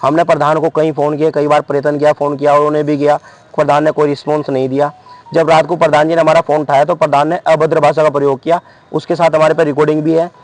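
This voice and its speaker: A man's voice: on the high side, flat delivery, fast talker, no room sound, faint music behind, low-quality recording. A man with a western Uttar Pradesh accent, an activist speaking off the cuff to lay out a complaint. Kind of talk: spontaneous spoken complaint.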